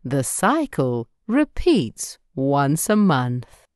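Storybook Moon, played by a woman: low female pitch, gentle teacher, extremely unhurried. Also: big pitch swings, dry recording, clean studio sound, exaggerated voice. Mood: patient, explaining. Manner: measured, instructive. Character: the Moon, gently explaining itself to a child. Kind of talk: children's bedtime storybook.

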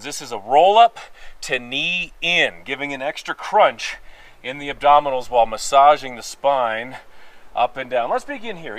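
Nasal man coaching a workout outdoors. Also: a little high. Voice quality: a little nasal. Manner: energetic coaching, counting reps, joking asides. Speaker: fitness instructor. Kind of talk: workout instruction while exercising. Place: outdoor wooden deck among pine trees.

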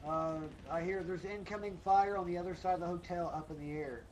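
A high-pitched man in casual team gaming chat, excitable and quick to repeat his callouts. Game gunfire and clear noise sit behind his voice. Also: rough recording. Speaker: gamer in a group livestream. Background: video game gunfire.

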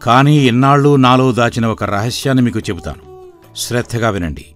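A man playing a weary, worried but shrewd middle-aged village father in an animated moral story, speaking plainly and earnestly. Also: medium pitch, brisk, close to an everyday voice. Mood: measured, persuasive. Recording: background music throughout.

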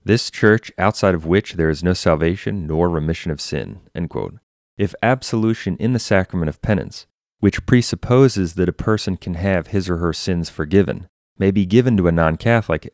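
Deep-voiced man, formal scripted narration. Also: darkish tone, dry recording, studio quality. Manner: emphatic, declarative, formal. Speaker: religious commentator narrating.

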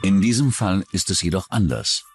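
A male narrator with a low, deep voice delivers scripted true-crime documentary narration. His intonation moves around a lot, and music plays clearly underneath him. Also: animated, normal speed.

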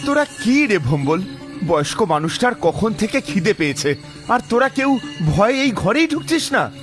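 অভিনেতার গলায় একটু চড়া গলার যুক্তিবাদী স্কুলশিক্ষক. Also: male voice, sits high, sing-song, moderate pace. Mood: scornful, scolding. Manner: scolding, emphatic. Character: scornful, sensible male village schoolteacher, unafraid of ghosts. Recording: very loud music over the voice.

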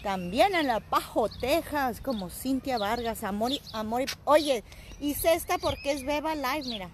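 A woman's voice: high-pitched, lively intonation, measured pace, background noise. A woman in her forties with a Mexican accent, chatting playfully to viewers on a live phone stream from a seaside walk. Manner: playful, jokey, talking to viewers. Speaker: vlogger. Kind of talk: live-streamed casual chat. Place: windy seaside outdoors. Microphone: phone at arm's length.

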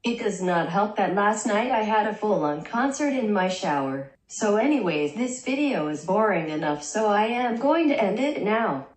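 A synthetic woman's voice reading a young vlogger's lines in a deadpan way, heard in a small bedroom through the camera mic. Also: medium pitch, moderate pace, few pauses, reverberant. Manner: deadpan, dry jokes. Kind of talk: text-to-speech vlog narration.

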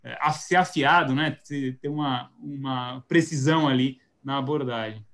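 A man's voice: medium pitch, moderate pace, fairly bright tone. A man in his thirties with a Paulista Brazilian accent, a marketing guest on a live video call, talking thoughtfully with many restarts and fillers. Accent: Paulista Brazilian Portuguese. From São Paulo state, Brazil. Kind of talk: live webinar conversation. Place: home office indoors. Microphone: video call webcam mic.

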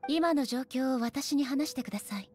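A woman's voice: high voice, a little mellow, soft background music, lightly acted. Stern, dignified shogun woman played by an actress. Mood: stern, composed. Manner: formal, measured, authoritative. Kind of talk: anime game character acting.

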